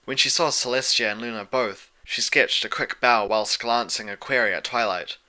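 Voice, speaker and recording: A man's voice: medium pitch, normal speed, pushed and bright. A man reading scripted fiction as an audiobook narrator.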